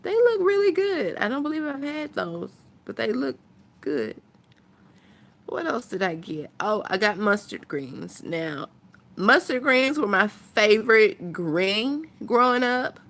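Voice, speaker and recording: Slow-talking woman vlogger. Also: a little high, sing-song, fairly bright tone. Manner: unhurried, rambling, thinking aloud. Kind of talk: casual chat to viewers.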